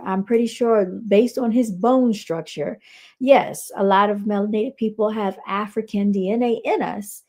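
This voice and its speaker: A woman's voice: medium pitch, darkish tone. An American woman hosting a reaction show, giving casual, opinionated commentary and repeating her points for emphasis.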